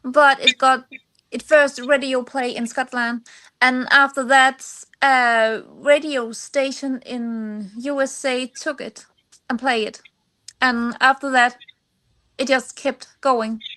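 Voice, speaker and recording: Casting: Danish woman singer-songwriter as interview guest, high voice, Danish accent, hesitant non-native phrasing. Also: fairly even intonation, bright, forceful tone. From Denmark. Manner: halting, searching for English words. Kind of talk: personal interview answers.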